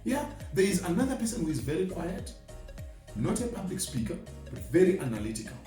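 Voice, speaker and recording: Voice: medium pitch, big pitch swings, brisk, warm tone, natural voice. An adult speaker playing a friendly, encouraging mentor who explains things informally and emphatically with homely examples, in a dramatized conversation. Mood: earnest, friendly. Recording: echoey room, distant mic, faint music behind.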